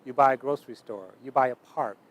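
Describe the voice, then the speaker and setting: A man with a mid-pitched voice talks as an interview subject, explaining ideas with emphasis. He builds his points by repeating phrases in measured lists. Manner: emphatic, uses repetition in lists. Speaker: interviewed speaker. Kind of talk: explanatory talk in a documentary interview.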